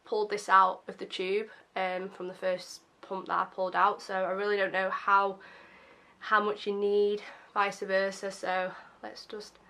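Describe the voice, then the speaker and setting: Young woman, slightly croaky, chatty, self-deprecating, even flat delivery, beauty vlogger. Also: mid-range voice, unhurried. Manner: chatty and self-deprecating, many fillers like um and obviously. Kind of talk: casual makeup first-impressions chat.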